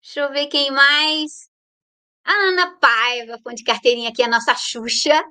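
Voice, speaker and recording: Woman, Brazilian Portuguese accent, high voice, bright tone, chatty livestream host, playful. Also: fairly even intonation, moderate pace. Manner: chatty, playful, greets viewers warmly by name. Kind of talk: casual live chat greeting viewers.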